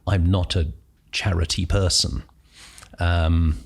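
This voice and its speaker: Deep-voiced British man, thoughtful and halting. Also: steady tone, vocal fry. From Northern Ireland. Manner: thoughtful, hesitant, many ums and restarts. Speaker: guest, impact investor. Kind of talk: podcast interview.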